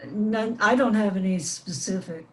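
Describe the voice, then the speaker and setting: A woman's voice: medium pitch, moderate pace. An older American woman reminiscing casually as a panel guest, hesitant as she recalls details. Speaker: panel guest, former child radio actress.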